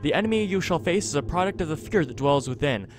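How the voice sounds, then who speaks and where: A man voicing a grave, formal spirit that announces a trial, reading out video game dialogue in a measured way. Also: a little high, expressive, soft background music, lightly acted. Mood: solemn. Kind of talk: scripted video game dialogue read aloud.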